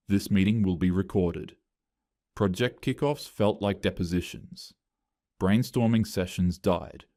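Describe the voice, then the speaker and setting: A man narrating a scripted first-person story with an even, flat delivery. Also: lowish voice, no room sound, studio quality.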